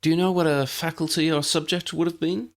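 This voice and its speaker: Man voicing a high-pitched, brisk young witch. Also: fairly even intonation, natural voice. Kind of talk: audiobook character dialogue.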